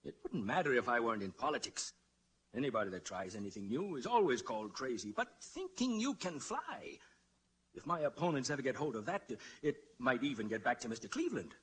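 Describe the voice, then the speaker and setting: A man acts out a middle-aged father in a 1940s radio play, cautious and gently resigned. His pitch swings expressively as he hesitates over his words. The vintage broadcast has faint noise behind him. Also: close to an everyday voice. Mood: worried.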